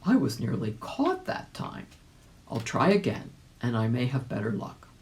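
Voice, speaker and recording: A woman voicing a plucky, good-natured old gentleman rabbit, musing earnestly in a storybook reading. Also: extremely low pitch, huge pitch swings, moderate pace, a little hiss or hum, pitched below the performer's own voice, exaggerated voice. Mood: cautious, hopeful.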